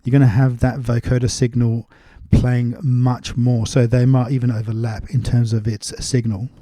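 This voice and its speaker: A man's voice: mid-range voice, flat delivery, moderate pace, warm tone. A man giving a casual, step-by-step music production tutorial in an informal way. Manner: informal, explains step by step while demonstrating. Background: music loops and vocal samples.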